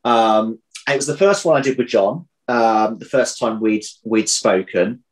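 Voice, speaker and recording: A man in his thirties chatting casually as an interview guest over a video call, often pausing, repeating words and correcting himself as he thinks. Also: lowish voice, poor audio. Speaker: interview guest, audio drama writer. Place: indoor room at home. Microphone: video call, computer mic.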